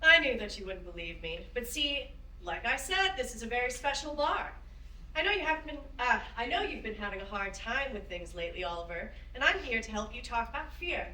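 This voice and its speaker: A woman's voice: a little high, very animated, brisk, sharp tone, a little hiss or hum. A woman playing a spirited, encouraging woman aviator in a staged play reading, with an earnest, emphatic manner. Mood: sympathetic. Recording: roomy, distant microphone.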